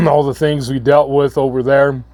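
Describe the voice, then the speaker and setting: A hoarse man, coming down with a cold, giving a halting, earnest update to his viewers. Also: medium pitch, fairly even intonation, darkish tone. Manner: halting, repeats words, slow and earnest. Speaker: channel host. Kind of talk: casual vlog update.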